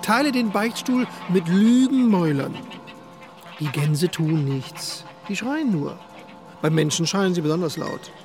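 Radio play role: disgusted, ranting priest, slow and very animated, music behind. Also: male voice, high voice. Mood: scornful, disgusted. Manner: scornful, ranting. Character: bitter, scornful priest disgusted by his flock. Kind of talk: theatrical radio play monologue.

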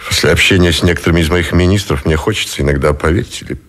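An actor playing a weary, brooding admiral and supreme commander in a radio drama, speaking deliberately with heavy pauses. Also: male voice, low voice, a bit bright. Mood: bitter, anguished.

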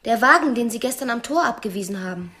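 An actress playing an unhappy, drinking, imperious wife in a radio drama, moving between hesitant murmurs and sharp commands. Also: female voice, on the high side, animated, quick pace, slightly rough, full character voice. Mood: sharp, commanding. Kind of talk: radio play acting.

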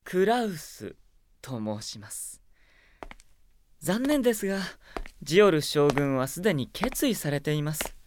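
Smug, mockingly polite young mage, swooping delivery. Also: male voice, a little high, big pitch swings, a little slow, pauses often, a little hiss or hum. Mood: coolly polite. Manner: soft polite speech laced with taunting. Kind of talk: radio drama acting.

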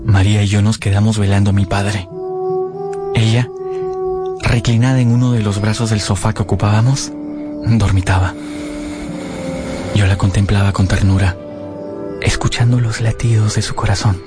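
Male actor as earnest young son, lowish voice, measured literary delivery, radio drama, sincere, prominent music behind. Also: fairly even intonation, lightly acted. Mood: solemn narrating. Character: Efraín, earnest young lovestruck son narrating his past. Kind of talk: radio drama narration and acting.

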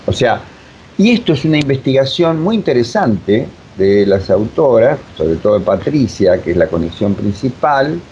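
A man's voice: very animated, moderate pace, mellow. A man with a Buenos Aires Argentine accent, a drama director explaining his work in an interview in a digressive, emphatic way. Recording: dull, noisy lo-fi audio with rumble.

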